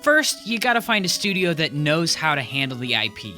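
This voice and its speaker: This narrator tells a comedy video essay with wry asides and an animated delivery. The voice is bright and forward, swings widely in pitch, and has faint music underneath. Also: fairly quick.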